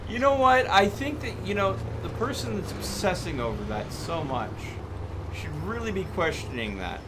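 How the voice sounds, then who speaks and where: A man with a notably high voice streams a game and talks casually to his friends. His manner is exasperated and full of exclamations. Clear background noise, with vehicle rumble, sits behind him. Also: big pitch swings, normal speed. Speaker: streamer host. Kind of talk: casual game livestream chat.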